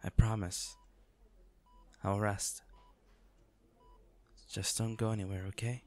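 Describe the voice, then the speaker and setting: Guy acting as a hurt boyfriend in a romance audio roleplay, tender and halting, takes it real slow. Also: fairly low pitch, level tone, darkish tone. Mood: drowsy, affectionate. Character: injured, devoted boyfriend proposing from a hospital bed.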